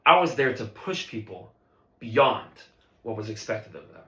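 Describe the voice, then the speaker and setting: A man's voice: on the low side, very animated, measured pace, fairly bright tone, echoey room. A man playing a ruthless, abusive jazz band conductor in a dramatic film-script performance, profane and interrogating. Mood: intense, reflective.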